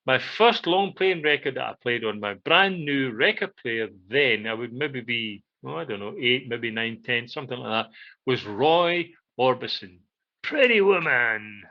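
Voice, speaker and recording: A man's voice: mid-range voice, expressive, measured pace. The recording is dull and lo-fi. A Scottish man chats casually about old memories as a podcast co-host. He rambles and halts, leaning often on "you know" as he finds his way. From Scotland. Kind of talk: casual reminiscing chat. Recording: dull, lo-fi band-limited audio.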